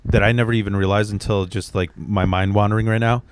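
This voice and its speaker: A man in his thirties hosting a casual podcast chat, talking haltingly with lots of restarts. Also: on the low side, steady tone, smooth, no echo. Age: 30s. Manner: halting, stumbling, teasing. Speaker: podcast host, stand-up comic. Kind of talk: casual conversation.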